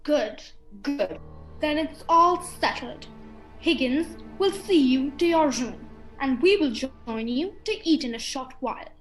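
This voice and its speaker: A woman's voice: high voice, lively intonation, slow, soft background music, low-quality recording. A woman playing a grand, ominously hospitable countess in a student radio play, stately and theatrical. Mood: brisk, imperious.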